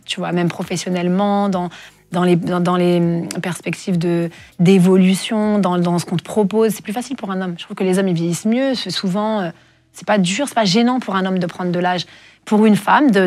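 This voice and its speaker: Woman, 40s, lowish husky voice, dry close sound, reflective interview guest who pauses a lot. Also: fairly even intonation, no room sound. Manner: reflective, soft-spoken with frequent hesitations. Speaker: guest singer. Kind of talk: candid interview talk.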